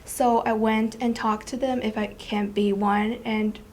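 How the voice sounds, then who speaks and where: A woman's voice: fairly high pitch, level tone, unhurried, darkish tone, a little hiss or hum. A teenage girl from China, a student, chatting casually and hesitantly with many fillers. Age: teens. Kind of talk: casual interview chat.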